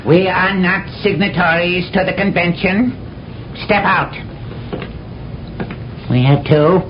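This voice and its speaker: An actor playing a stern, unyielding alien military commander with curt, commanding delivery in a radio drama. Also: male voice, high-pitched, slow, noisy background. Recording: echoey hall, dull old radio broadcast.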